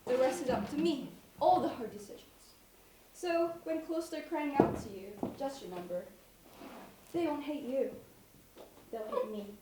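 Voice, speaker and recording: A woman's voice: high-pitched, lively intonation, unhurried, pauses often. A woman acting an imperious, expensive professional organizer in a one-act stage comedy, speaking in a curt, commanding, precise way. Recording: echoey stage from far off, noisy.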